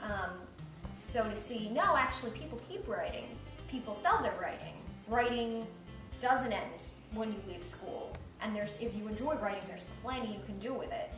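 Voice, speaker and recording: A woman's voice: on the high side, expressive, normal speed, over music. A young woman speaks in an informal, conversational way, like an author sharing her thoughts on a visit. She sounds a little way from the microphone, and the room rings around her words. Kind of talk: casual reflective talk and question-and-answer with schoolchildren. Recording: roomy, distant mic, some noise.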